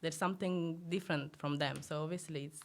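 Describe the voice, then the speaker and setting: A woman's voice: deep voice, fairly even intonation, a little fast. A young woman, born in Greece and raised in Romania, chatting easily as a guest with lots of fillers and laughter. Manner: easygoing, many fillers, laughs often. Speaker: guest musician. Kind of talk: casual interview chat.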